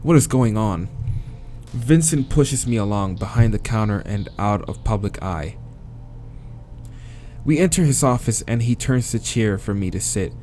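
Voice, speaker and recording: A man's voice: fairly low pitch, expressive, a little slow, warm tone, soft background music. A man reading visual novel narration aloud as a let's player, with casual, crude asides.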